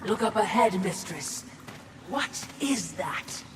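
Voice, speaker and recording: Teasing ghostly watcher lady for a game, super slow and wry, loud ambience behind. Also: mid-range voice, animated, noisy background. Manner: wry, mocking and drawn out. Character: sly spectral female watcher, teasing companion. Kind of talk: video game cutscene acting.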